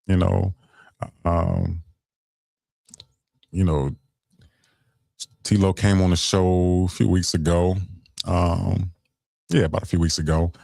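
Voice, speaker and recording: A young adult man, hosting a late-night radio show in a laid-back, conversational way and giving shout-outs. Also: flat delivery, slow, creaky, long pauses, dry recording, studio quality. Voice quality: deep voice.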